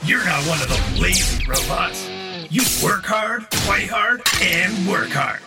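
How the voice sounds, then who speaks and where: A man's voice: high voice, slow, distant mic. A man playing a gung-ho announcer in a parody commercial for robots, his voice set back under music. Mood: hard-sell. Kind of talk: parody commercial, then rapid disclaimer.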